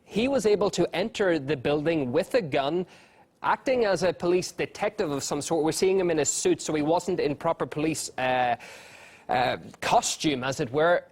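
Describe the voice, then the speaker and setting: Male news anchor live on air, kinda high voice, brisk questions.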